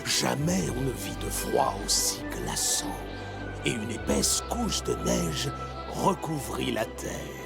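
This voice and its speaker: A man playing an old, kindly animal elder telling tales in a children's cartoon, with a creaky, expressive voice. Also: mid-range voice, exaggerated voice. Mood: storytelling. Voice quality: gravelly, creaky. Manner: slow, expressive storytelling. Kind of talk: French children's cartoon dub. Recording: music under the voice.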